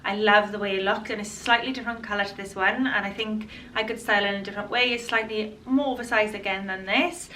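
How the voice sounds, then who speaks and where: A woman in her twenties, a fashion vlogger chatting to camera in a casual, enthusiastic way, her voice a little hoarse from a cold. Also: medium pitch, steady tone, fast, few pauses, pushed and bright, far from the mic, a little hiss or hum, low-quality recording. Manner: chatty, enthusiastic, restarts and repeats words. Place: bright room indoors.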